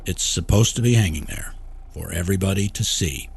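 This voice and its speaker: A man's voice: low voice, a little fast, gravelly, husky, heavily acted. A man reading a short story as a heavy-set small-town cop, clipped and unruffled. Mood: calm, evasive. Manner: clipped, flat questioning. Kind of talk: audiobook character acting.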